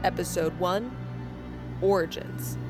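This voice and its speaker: A woman's voice: on the low side, slow. A woman reading scripted opening credits as an announcer. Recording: music behind the voice.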